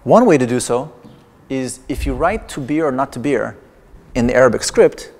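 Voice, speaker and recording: Man, native Persian speaker, artist lecturing with dry digressive humor; gravelly texture, long hall reverb. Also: mid-range voice, expressive, moderate pace, darkish tone. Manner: wry, digressive, with playful humor. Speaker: artist giving a lecture performance. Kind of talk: lecture performance. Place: large exhibition hall in a museum.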